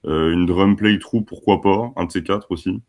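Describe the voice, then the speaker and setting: A man's voice: deep voice, moderate pace, a little mellow. A man in his twenties from France, a band member chatting casually and laughing easily in an interview. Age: 20s. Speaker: band musician.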